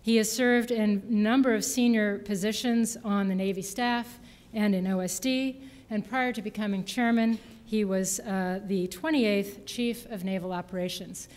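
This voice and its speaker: Woman, event host, formal introduction, medium-pitched voice, long hall reverb. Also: fairly even intonation, moderate pace, a little mellow. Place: large hall at a public event.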